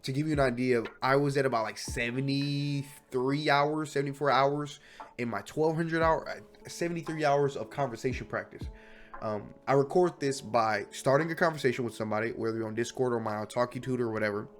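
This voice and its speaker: Young man, stuffy nose, chatty, self-correcting, mid-pitched voice, faint music behind. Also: fairly even intonation, a little fast. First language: English. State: sick, stuffy voice. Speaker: language learning vlogger. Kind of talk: casual rambling monologue.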